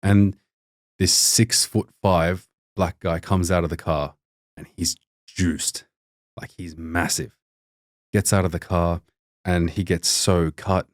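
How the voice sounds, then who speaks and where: Deep-voiced man, rambling chat. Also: steady tone, slow pace, dry recording, clean studio sound. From Australia. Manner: rambling, many restarts and fillers. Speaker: podcast guest, doctor. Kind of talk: casual storytelling chat.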